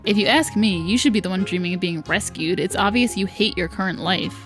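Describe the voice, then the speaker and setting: A wistful young servant heroine of a visual novel, read by a woman, with quiet defiance. She speaks reflectively at a medium female pitch, with music in the background. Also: a little fast, lightly acted. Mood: dry defiance. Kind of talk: reading visual novel narration and dialogue aloud. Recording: music behind the voice.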